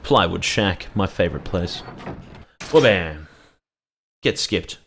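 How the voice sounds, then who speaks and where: A man's voice: deep voice, animated, slow, creaky, a bit bright, a little hiss or hum. A man giving casual, rambling gameplay commentary as a video creator, irreverent and often swearing.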